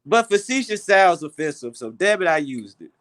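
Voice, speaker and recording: A young man with a Southern Memphis accent hosting a loose group conversation. He talks in a rambling, profane way, full of restarts. His voice sits somewhat high for a man. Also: very animated, normal speed, fairly bright tone. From South Memphis, USA. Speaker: host of an open discussion. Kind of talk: casual group chat.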